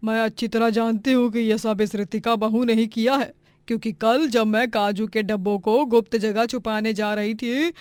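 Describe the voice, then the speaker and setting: A high-voiced, domineering middle-aged mother-in-law as acted by a woman in a cartoon moral tale. She is greedy and bossy, scolding and lamenting with great theatrical drama. The sound is close and dry. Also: no room sound, close to an everyday voice. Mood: angry, accusing. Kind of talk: animated Hindi moral-story voice acting.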